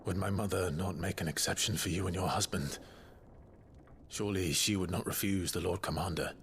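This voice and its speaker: A man's voice: sits low, brisk, fairly bright tone, slight background noise. An actor playing a reserved, courteous British nobleman in his thirties in a video game, weary and subdued. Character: brooding, courteous young nobleman turned weary wanderer. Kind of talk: video game drama acting. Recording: game music behind.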